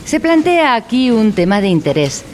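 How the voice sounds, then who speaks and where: A woman's voice: fairly high pitch, normal speed, background noise. A woman playing an earnest, formal instructor in a satirical educational radio drama. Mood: deadpan, pedantic. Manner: didactic, deadpan, formal. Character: earnest female instructor of an absurd mock educational course.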